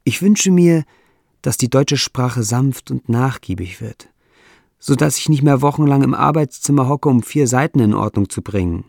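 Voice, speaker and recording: A man with a non-native German accent that struggles with umlauts, reading his own essay in a measured, deliberate way with dry, self-ironic humor. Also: mid-range voice, lively intonation, gravelly, darkish tone, dry recording. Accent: non-native German, trouble with umlauts.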